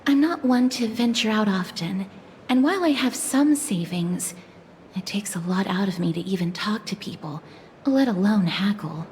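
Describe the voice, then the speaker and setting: An actress playing a reserved, polite and gentle young noblewoman in a video game, speaking formally and softly. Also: medium pitch, moderate pace, slight background noise, lightly acted. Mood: grateful, shy. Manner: formal, courteous, soft-spoken. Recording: music behind the voice.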